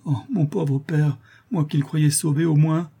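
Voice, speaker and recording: A man's voice: fairly high pitch, fairly even intonation, a little slow, gravelly, warm tone, husky, pitched above the performer's own voice, heavily acted. A man voicing a distressed young woman in a storybook, a devoted daughter, pleading and dejected.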